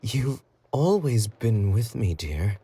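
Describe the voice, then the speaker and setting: Man playing a slow, husky, obsessive yandere boyfriend. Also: on the low side, very animated, dark tone, no room sound, exaggerated voice. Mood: unsettlingly sweet. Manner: slow, intimate, cooing with giggly menace. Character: obsessive, possessive yandere boyfriend, doting yet menacing. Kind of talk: romantic roleplay audio drama.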